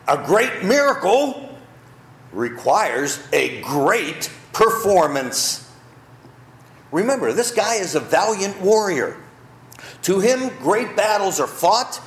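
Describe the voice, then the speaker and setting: Male pastor, high animated voice, room echo from the church. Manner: storytelling, rhetorical questions. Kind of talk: sermon.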